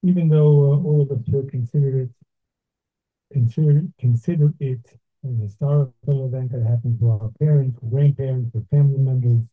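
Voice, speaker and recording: An older man from Brooklyn, giving a slow, deliberate, reflective talk with pauses. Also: medium pitch, fairly even intonation, husky. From Brooklyn, New York. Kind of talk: measured reflective address. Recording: tinny phone-like sound.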